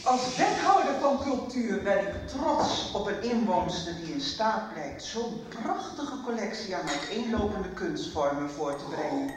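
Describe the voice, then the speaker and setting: A woman's voice: medium pitch, expressive, background noise, close to an everyday voice. An actress playing a dignified woman alderman giving a formal, stately address in a radio soap. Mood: formal, proud. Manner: stately, measured. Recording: echoey hall, distant, loud music behind.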